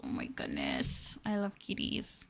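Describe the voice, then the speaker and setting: A woman streamer chatting casually in a soft, affectionate, gushing ASMR style, close to the microphone and sometimes near a whisper. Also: a bass voice, huge pitch swings, a little slow, gravelly, breathy edge. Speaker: ASMR streamer, VTuber host. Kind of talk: ASMR soft talking, casual chat with viewers.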